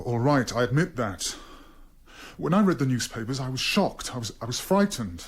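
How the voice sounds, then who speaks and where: A man's voice: mid-range voice, slightly rough. A man playing an anxious, defensive English former soldier under questioning in a radio drama, hesitant and guarded.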